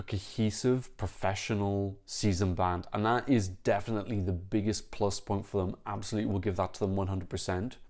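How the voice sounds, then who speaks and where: A man talking casually to camera, giving frank, critical opinions in quick bursts and often restarting his sentences. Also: fairly low pitch, darkish tone. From Ireland. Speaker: Eurovision reaction vlogger.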